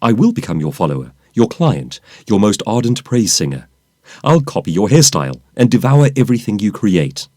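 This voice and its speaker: A man reading his own nonfiction audiobook as an author and professional speaker, with a polished, emphatic, oratorical delivery and deliberate pauses. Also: on the low side, very animated, darkish tone, no room sound, studio quality. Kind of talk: scripted audiobook narration.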